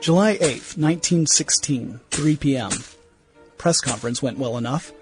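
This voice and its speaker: An actor playing an irritable, self-important 1910s museum scientist, delivering a brisk, opinionated diary monologue in a radio play. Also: male voice, mid-range voice, animated, natural voice. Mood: irritated, assured. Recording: music bed under the voice.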